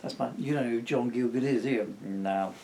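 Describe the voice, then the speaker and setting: A man's voice: medium pitch, a little fast, noisy background. A middle-aged man reading a children's story poem in a theatrical, jokey way, with chatty asides. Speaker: storybook reader and author.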